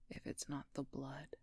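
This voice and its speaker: A woman playing a wounded, protective female werewolf knight in an intimate audio roleplay, speaking in a breathy, husky, gravelly whisper. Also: no room sound.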